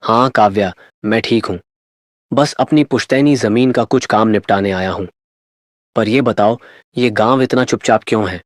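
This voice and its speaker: A man's voice: fairly low pitch, normal speed, no echo, clean studio sound, close to an everyday voice. A man playing a sceptical, questioning young man back in his home village in a horror story. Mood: curious. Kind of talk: dramatized horror story dialogue.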